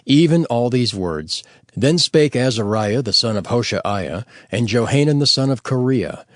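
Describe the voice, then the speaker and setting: Expressive male scripture narrator, dry sound. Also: mid-range voice, dry recording. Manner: measured, formal, expressive.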